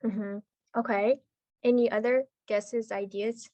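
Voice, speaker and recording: A woman's voice: fairly high pitch, steady tone, slow, darkish tone, no room sound, lo-fi sound. A woman leading a writing webinar over a video call, chatty and checking in with "right?" often. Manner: conversational, frequent "right?" checks and fillers. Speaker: webinar instructor. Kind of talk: teaching a live webinar.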